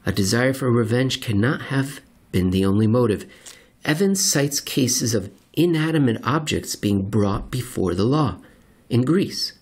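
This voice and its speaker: A man narrating a written essay aloud in a deliberate, theatrical way with pointed emphasis. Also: mid-range voice, gravelly, husky. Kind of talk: scripted narration, dramatic essay reading.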